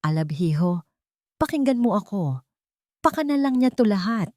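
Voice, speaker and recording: Woman putting on an old nanny sobbing and begging, pitched way up. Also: very animated, moderate pace, a little mellow, no echo, studio quality, close to an everyday voice. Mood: pleading. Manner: pleading, voice breaking. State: crying. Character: older nanny pleading desperately, sobbing.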